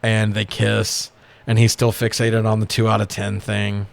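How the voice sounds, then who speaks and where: A man's voice: lowish voice, monotone, unhurried, gravelly. A man co-hosting a podcast, casually recapping a movie with profane, exasperated sarcasm. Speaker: podcast co-host.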